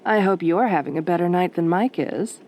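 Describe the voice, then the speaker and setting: A woman playing a sultry, teasing late-night radio DJ in a soft-spoken roleplay, with a velvety voice and a flirty, wry drawl. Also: lowish voice, moderate pace, natural voice. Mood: amused, flirty.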